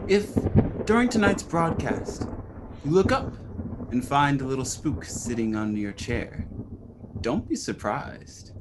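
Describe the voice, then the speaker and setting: A man's voice: medium pitch, animated, a little slow, noisy background, lightly acted. A young man playing an eerie, teasing 1940s horror radio host with theatrical, wry storytelling. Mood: wry, spooky. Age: young adult. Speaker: radio show host and narrator.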